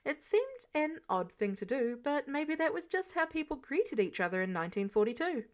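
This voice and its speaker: A woman narrates fiction in a slightly high voice. She reads from a script as an audiobook narrator would, with expression, and she acts out the characters' dialogue as she goes. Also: very animated, moderate pace.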